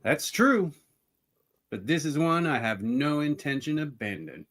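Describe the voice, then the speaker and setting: A man plays a menacing frontier deputy in a radio play. He is smug and threatening, with a slightly high voice for a man. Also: animated, unhurried, fairly bright tone. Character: menacing, bigoted Old West deputy henchman. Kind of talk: amateur radio play acting.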